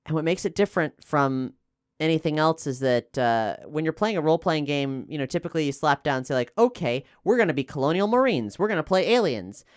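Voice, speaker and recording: Guy chatting and explaining on a podcast, really clean smooth voice, dry close sound. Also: fairly high pitch, expressive, a little fast, no echo. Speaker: podcast host and player. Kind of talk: casual podcast discussion.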